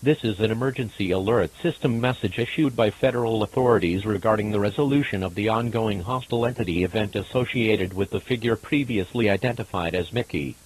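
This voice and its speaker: A man's voice: lowish voice, brisk, few pauses, slightly rough, low-quality recording. A man reading a formal, impersonal scripted emergency alert as a broadcast announcer.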